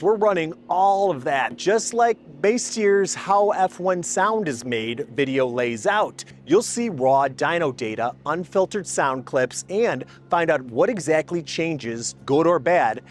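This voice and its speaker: A man's voice: a little high, slight background noise. A man hosting a car testing video, explaining things in an informal, enthusiastic way with emphatic phrasing. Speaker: host of a car exhaust testing channel. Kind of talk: scripted narration and casual explainer to camera.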